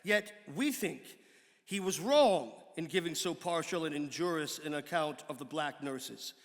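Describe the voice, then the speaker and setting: A man giving a measured, formal dramatic reading of an old historical pamphlet. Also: fairly high pitch, animated. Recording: long hall reverb.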